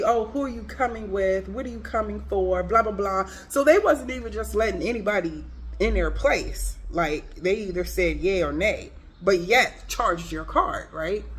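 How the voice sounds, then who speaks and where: A woman with a congested, stuffy voice telling a chatty, exasperated story for her travel vlog in casual slang. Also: mid-range voice. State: congested from a cold. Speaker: travel vlogger.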